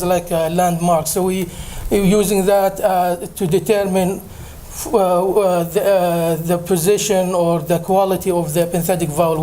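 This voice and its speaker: Man, high pitch, mellow tone, hesitant, filler-heavy answers, conference presenter. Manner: hesitant, many filler pauses. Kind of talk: answering audience questions. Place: conference room.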